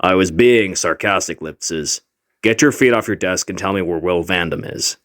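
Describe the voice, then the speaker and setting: A man's voice: sits low, moderate pace, a bit bright. A man playing a stern, sarcastic history teacher in a comic dub, dry and sardonic. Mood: dry sarcasm. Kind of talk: comic fandub acting.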